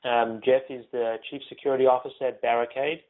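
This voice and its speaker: A man's voice: medium pitch, little pitch movement, fairly quick. South african guy hosting a webinar panel, lots of ums, sounds like a phone line. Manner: many ums and uhs, easygoing. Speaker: webinar host and moderator. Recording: phone-like narrow audio.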